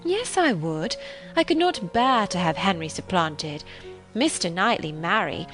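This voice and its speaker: A woman voicing a spirited, opinionated young gentlewoman in an audiobook, with emphatic, exclamatory delivery and formal period phrasing. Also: on the low side, expressive, measured pace, faint music behind. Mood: indignant, protesting.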